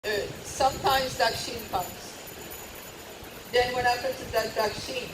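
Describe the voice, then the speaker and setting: A woman's voice: a little high, fairly even intonation, measured pace. A woman giving an earnest devotional lecture at a retreat, stressing and repeating her key points. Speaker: religious teacher. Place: retreat hall. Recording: distant voice in a roomy hall with background noise.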